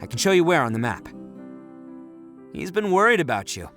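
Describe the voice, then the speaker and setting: An actor playing a brash, boastful, good-hearted young gang boss in a video game. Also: male voice, on the high side, big pitch swings, normal speed, fairly bright tone, faint music behind. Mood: bashful bragging. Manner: cocky, exclamatory, swaggering.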